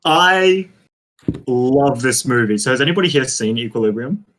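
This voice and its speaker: A man's voice: medium pitch, expressive, moderate pace, a crowd in the background. A man co-hosting a podcast in a casual film chat, speaking in an enthusiastic, emphatic way. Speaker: podcast co-host. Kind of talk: casual group chat about films.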